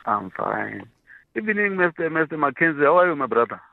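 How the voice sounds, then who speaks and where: A man calling in to a radio show, friendly and greeting the hosts warmly. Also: a little high, big pitch swings, brisk, creaky. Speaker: caller. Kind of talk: radio call-in. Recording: tinny phone line.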